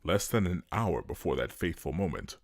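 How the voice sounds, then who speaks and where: A man's voice: deep voice, lively intonation, brisk, a little hiss or hum. A man reading scripted dramatic narration as the storyteller of an audio drama.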